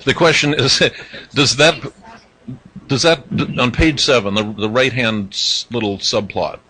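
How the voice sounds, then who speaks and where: A man asking unscripted technical questions from a conference audience, halting and repeating phrases. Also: mid-range voice, pushed and bright, husky, rough recording. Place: indoor conference room.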